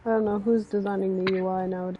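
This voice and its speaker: A woman's voice: mid-range voice, little pitch movement, fast talker, soft background music. Woman, casual gaming chat, grumbling, sarcastic, dull lo-fi audio. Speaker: gaming streamer. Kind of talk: casual chat while playing a game.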